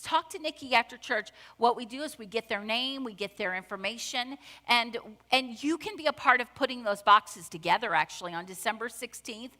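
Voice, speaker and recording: A woman's voice: on the high side, expressive, normal speed, hardly any pauses, bright, forceful tone. A middle-aged woman preaching a sermon in a church, talking conversationally and with emphasis. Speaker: pastor. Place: church sanctuary. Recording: long church hall reverb.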